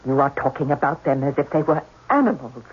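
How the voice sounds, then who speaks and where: A muffled old radio drama recording carries an indignant scientist. The voice is husky and moves widely in pitch. The delivery is earnest and accusing, full of principle. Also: female voice, expressive, a little fast, full character voice. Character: indignant, principled scientist defending intelligent beings. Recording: muffled vintage radio broadcast.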